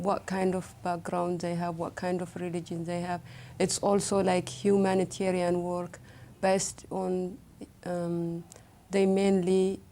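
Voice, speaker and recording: A woman's voice: lowish voice, warm tone. A woman from Ethiopia, a guest in an interview, answering in a hesitant, thoughtful way.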